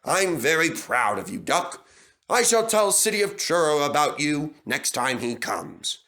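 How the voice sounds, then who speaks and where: A man as a dignified, clear-cut gentleman boss. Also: a little high, very animated, full character voice. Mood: kindly, proud. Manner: measured, formal, impressive. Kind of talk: storybook fandub character voice.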